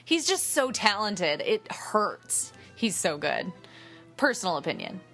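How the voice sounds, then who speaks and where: A woman's voice: mid-range voice, unhurried, faint music behind. A woman co-hosting a podcast who gushes about actors, agrees often with the other host, and reacts with animation. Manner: gushing, animated, lots of agreeing fillers. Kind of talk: casual film discussion.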